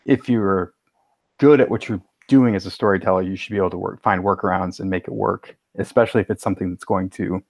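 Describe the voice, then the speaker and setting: A man's voice: low voice, steady tone, fast, creaky, a little mellow, no echo. A man giving his opinions casually as a panelist on a podcast, with tentative starts and blunt views.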